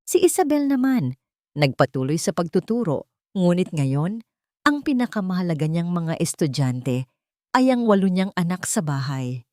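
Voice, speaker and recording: A woman narrating a scripted story in an earnest, sentimental, measured way. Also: fairly low pitch, big pitch swings, darkish tone, dry recording, studio quality.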